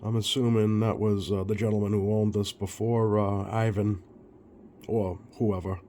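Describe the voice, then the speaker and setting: A man with a mellow, fairly low voice explains repair work in a casual, unscripted way. He takes his time and hesitates as he thinks aloud, with some background noise in the recording. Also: flat delivery, moderate pace. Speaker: radio repair technician hosting a bench video. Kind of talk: casual off-the-cuff repair narration.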